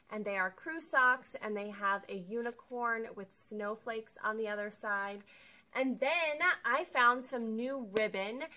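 Young woman, haul vlogger, bright and slightly high voice, chatty and upbeat, close to the camera in a living room. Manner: chatty, upbeat, explains each item. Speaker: vlogger showing a shopping haul. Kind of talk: casual haul vlog. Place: living room with a sofa, indoors.

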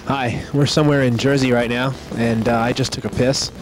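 A man's voice: medium pitch, level tone, a little fast, background noise. A man chatting casually on a road trip, making dry, wry remarks. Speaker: co-host. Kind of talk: casual chat.

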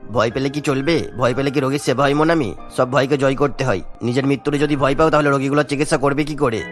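A man's voice: medium pitch, steady tone, fast talker, soft background music, lightly acted. A man voicing a kindly, dutiful elderly village doctor in a cartoon, earnest and reassuring in manner. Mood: firm, encouraging. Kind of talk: Bengali cartoon voice acting.

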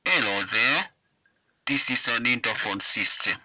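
A man's voice: medium pitch, expressive, measured pace, sharp tone. A man saying test phrases into a homemade intercom, heard back through its speaker.

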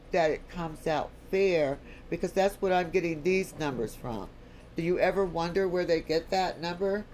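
An American speaker delivers an unscripted lecture as a voice-over on a screen recording. The pace is unhurried and the pitch sits in the middle. Key points come back again and again, with a faint hum of ambience underneath. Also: darkish tone, poor audio. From United States. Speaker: video blogger. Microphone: voice-over, not recorded in the pictured place.

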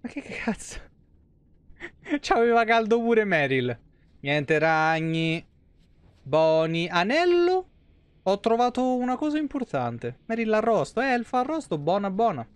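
A man's voice: high voice, big pitch swings, a little slow, clean tone, dry recording. A young man from Italy doing casual live gameplay commentary, playful and foul-mouthed. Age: young adult. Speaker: live game streamer.

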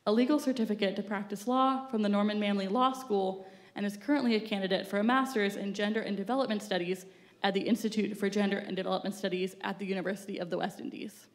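A woman's voice: mid-range voice, steady tone, fast. A woman at a podium reading formal speaker introductions on a theater stage. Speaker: event host introducing speakers. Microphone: podium mic. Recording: roomy theater sound.

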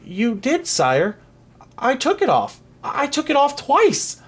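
A man's voice: very high voice, wildly swooping pitch, takes it slowly, slightly rough, voice pushed up, full character voice. A man voicing a timid, polite young peasant boy in a children's storybook, meek and hesitant. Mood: pleading.

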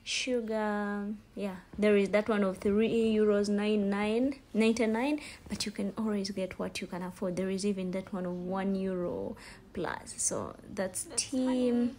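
Young woman, Ugandan accent, informal vlogger explaining things haltingly, phone recording with slight background ambience. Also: mid-range voice, a little mellow. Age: young adult. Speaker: vlogger, student. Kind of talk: casual shopping vlog narration. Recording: store ambience noise, handheld phone. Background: faint ambient noise.

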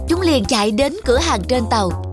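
A woman's voice: high-pitched, expressive, fairly quick, over music. A woman reading scripted narration for a children's fairy tale. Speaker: storyteller, narrator.

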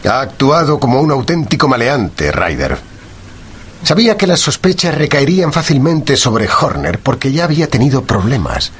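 Radio drama detective: stern and sardonic, very husky, with a widely swinging delivery. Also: male voice, mid-range voice, very animated. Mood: accusing. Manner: commanding, measured, sardonic. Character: stern, sardonic male detective questioning a culprit.

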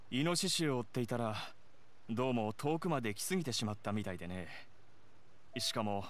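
A man's voice: medium pitch, slight background noise, natural voice. A man playing a grateful hunter in a video game, with sound behind his voice. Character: injured, grateful male hunter, game villager.

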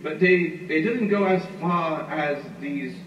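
A man giving a history lecture, telling the story at an unhurried storyteller's pace with frequent "uh" fillers. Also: on the high side. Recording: muffled, roomy, far from mic, noisy.

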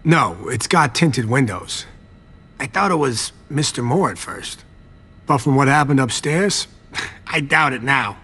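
A man's voice: mid-range voice, expressive, unhurried, sharp tone, breathy edge, full character voice. An actor voicing a nervous, servile vampire garage attendant in a video game, pleading and evasive in his manner. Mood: eager, explaining. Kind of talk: video game cutscene acting.

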